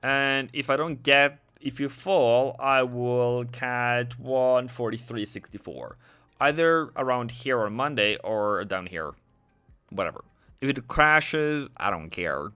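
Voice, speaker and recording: Bright-voiced man hosting a trading livestream. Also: medium pitch, slow, smooth. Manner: chatty, sarcastic, jokey with viewers. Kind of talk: casual livestream chat.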